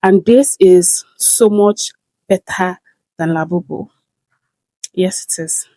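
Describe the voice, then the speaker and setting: A woman's voice: on the low side, slow pace, mellow, no room sound. A young woman with a heavy stammer, earnestly giving a viewer advice in a casual vlog. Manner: heavy stammer with repeated sounds and blocks; earnest, speaks directly to a viewer by name. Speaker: content creator.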